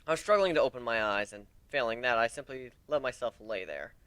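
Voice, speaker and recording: A man's voice: medium pitch, animated, fairly quick, fairly bright tone, clean tone, no room sound. A man reading a story aloud dramatically as an amateur narrator. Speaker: amateur reader of a fan story.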